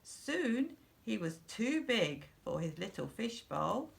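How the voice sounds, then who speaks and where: A middle-aged woman reading a children's storybook aloud in a deliberate, expressive way for young listeners. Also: medium pitch, vocal fry. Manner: slow, deliberate, simple phrasing for young children. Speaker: school storyteller.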